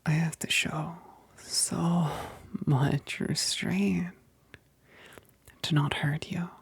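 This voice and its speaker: A woman playing a hungry, seductive vampire best friend in an intimate ASMR roleplay, mostly whispering in a slow, teasing way, close to the mic. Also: low-pitched, fairly even intonation, vocal fry, slightly rough, no echo, heavily acted. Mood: teasing, menacing. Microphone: close, dry microphone.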